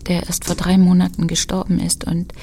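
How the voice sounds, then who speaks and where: A tender, hesitant woman of the nineteenth century, murmurs in a dark, flat, gravelly undertone. The radio-play delivery is hushed and intimate, with a little noise behind it. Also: lowish voice, fairly quick.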